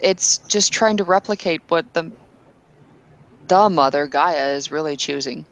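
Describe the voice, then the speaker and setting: Woman as a devout kinfolk woman, earnest, insistent, gravelly voice, halting. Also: low-pitched, poor audio. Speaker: player character. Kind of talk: tabletop roleplay in character.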